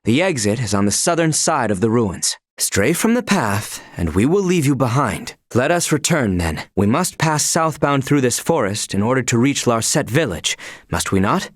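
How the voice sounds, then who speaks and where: Formal, expressive courtly attendant. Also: male voice, medium pitch, moderate pace, dry recording, clean studio sound. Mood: measured, cautionary. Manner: formal, archaic, courtly diction. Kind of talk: video game character voice lines.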